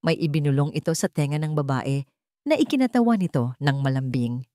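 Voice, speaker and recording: A woman's voice: sits low, expressive, brisk, mellow, no room sound, clean studio sound. A woman reading a scripted dramatic story as its narrator.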